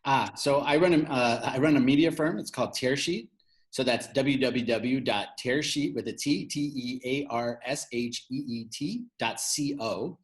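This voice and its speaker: Mid-pitched man explaining as interview guest. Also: moderate pace. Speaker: interview guest, fintech media founder. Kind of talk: conversational explaining.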